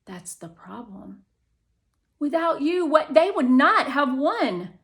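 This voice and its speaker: A woman's voice: high voice, big pitch swings, slow, a bit bright, pitched above the performer's own voice, heavily acted. A woman playing a self-conscious, wounded schoolgirl in a children's story, hesitant and plain-spoken. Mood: hurt. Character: self-conscious, hurt schoolgirl speaking through a talking device.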